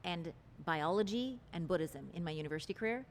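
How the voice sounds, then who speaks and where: A woman's voice: fairly low pitch, animated, fast talker, a little hiss or hum. A woman guest in an interview, talking about relationships in an articulate, expansive and earnest way. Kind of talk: interview conversation.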